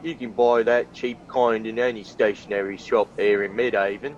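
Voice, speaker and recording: An actor playing an evasive, offhand small-town post office clerk in an audio crime drama. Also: male voice, fairly low pitch, little pitch movement, moderate pace, highs cut off, faint music behind, lightly acted. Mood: cagey.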